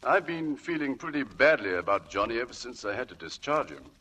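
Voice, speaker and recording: Bright-voiced, courteous gentleman captain. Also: medium pitch, very animated, brisk. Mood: courteous, regretful. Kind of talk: 1940s radio drama acting. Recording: distant old radio broadcast, music behind.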